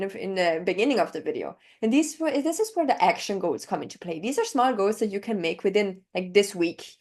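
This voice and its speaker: Mellow-voiced young woman explaining methods. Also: medium pitch. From Northern Italy. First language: German. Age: 20s. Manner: enthusiastic, explanatory, walks through steps with examples. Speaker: language coach. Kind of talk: interview conversation.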